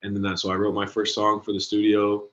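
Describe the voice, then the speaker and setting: A man's voice: fairly low pitch, completely flat delivery, fairly quick, highs cut off. A man in his twenties, a singer guest in an interview, talking in a laid-back way with many ums and likes. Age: 20s. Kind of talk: casual interview.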